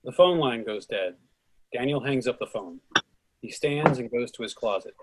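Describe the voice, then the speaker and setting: A man's voice: medium pitch, animated, moderate pace, very noisy. A man narrating stage directions as host of a screenplay reading. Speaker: narrator and host of readers' theatre.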